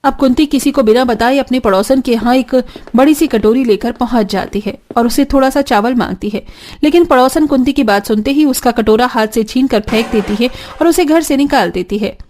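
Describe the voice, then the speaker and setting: A woman's voice: a little high, normal speed, darkish tone. A woman narrating a scripted cartoon story as its storyteller.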